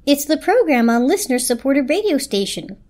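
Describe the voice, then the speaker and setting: A woman plays an eager storybook toaster, pleased with itself and full of reassurance. The voice is high and emphatic. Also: sing-song, a little fast, a little mellow. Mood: excited. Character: excited, reassuring, boastful toaster.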